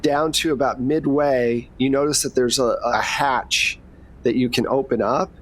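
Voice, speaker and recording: A man's voice: medium pitch, steady tone, a little slow, poor audio. Casual man running a tabletop game. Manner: conversational, explanatory. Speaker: game master.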